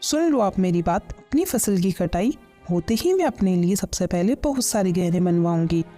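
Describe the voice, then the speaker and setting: A woman's voice: lowish voice, expressive, moderate pace, mellow, close to an everyday voice. A woman playing a greedy, scheming village farmer's wife in a kids' cartoon, with a nagging, commanding manner. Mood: gleeful scheming. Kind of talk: Hindi kids' cartoon story dialogue. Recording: music under the voice.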